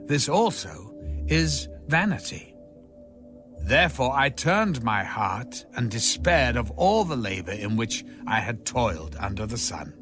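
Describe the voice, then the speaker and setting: A man narrating scripture in a solemn, dramatic reading, measured and formal, with a rising and falling cadence. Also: mid-range voice. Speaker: audio Bible narrator.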